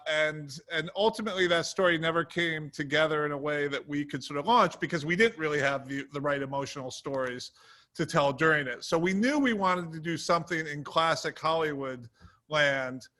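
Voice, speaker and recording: Man, fairly high pitch, very bright forceful tone, casual panel anecdotes, TV writer. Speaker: television writer and producer, panel guest.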